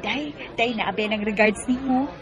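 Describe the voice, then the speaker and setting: A young Bisaya Filipino woman played by an actress talks like a close friend. She is colloquial, practical and gently persuasive, in radio drama style. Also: a little high, normal speed, lightly acted. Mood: chatty.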